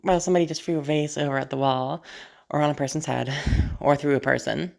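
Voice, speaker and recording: A crazed female patient played by a woman speaks in a lowish voice thick with fry. She is possessive and flirty, playfully menacing. Her audio-drama monologue comes out halting and teasing, sprinkled with swearing. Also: steady tone, a little mellow. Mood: playfully unhinged.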